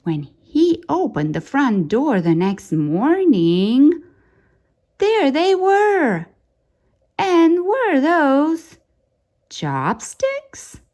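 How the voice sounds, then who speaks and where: A woman narrates a children's picture book with theatrical suspense. Her pitch moves around in a very animated way, and she takes her time, stretching key words for effect. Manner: dramatic, suspenseful delivery with drawn-out key words. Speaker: storyteller reading a children's picture book aloud. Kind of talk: expressive read-aloud storytime narration.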